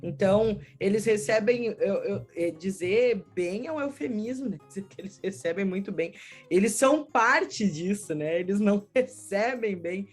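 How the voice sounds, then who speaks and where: Interview guest: woman, southern Brazilian accent, mid-pitched, conversational teacher explaining her work, music in the mix. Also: expressive, moderate pace. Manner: explanatory, frequent "né" tags. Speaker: schoolteacher, storyteller and researcher, interview guest.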